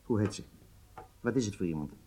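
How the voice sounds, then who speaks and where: An actor playing an agitated, businesslike intelligence handler in a radio drama, with halting delivery and emphatic outbursts. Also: male voice, fairly low pitch, lively intonation, warm tone, husky. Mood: stunned, exasperated.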